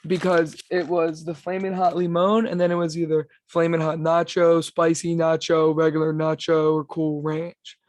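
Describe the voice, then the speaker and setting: A raspy young man, talking loose and slangy as a podcast co-host. His voice sits a bit high for a man, recorded close and dry with no room sound. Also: completely flat delivery, moderate pace, hardly any pauses, darkish tone, dry recording. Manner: laid-back slangy talk. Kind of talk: casual chat.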